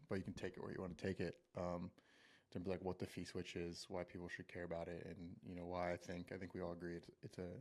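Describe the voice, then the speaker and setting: Low-voiced man hosting a casual podcast. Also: darkish tone. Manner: loose, joking, leads the talk. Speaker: podcast host.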